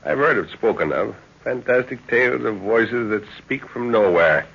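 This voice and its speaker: An actor playing a shrewd, genial explorer and father in a 1930s radio drama, speaking with measured authority. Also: fairly low pitch, lively intonation, vocal fry, slightly rough, exaggerated voice. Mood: genial, explanatory. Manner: measured, hesitant pauses, authoritative. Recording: muffled old 1930s radio recording.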